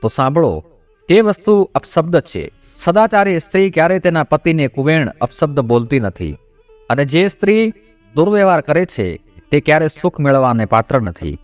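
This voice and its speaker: A man's voice: a little high, very animated, normal speed, dry recording, soft background music. A man voicing a solemn male god who instructs his consort in a devotional story. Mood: solemn, instructive.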